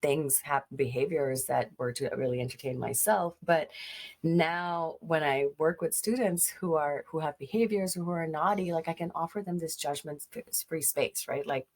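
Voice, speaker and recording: A woman's voice: low-pitched, moderate pace, darkish tone. A woman in her forties from Pakistan, an education director, answering interview questions earnestly with frequent fillers.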